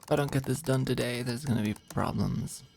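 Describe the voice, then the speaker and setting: A performer of unclear sex playing a flirty, overworked office manager in an intimate ASMR roleplay, with a halting, teasing murmur. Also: medium pitch, vocal fry, darkish tone, faint music behind, lightly acted. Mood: tired, stressed.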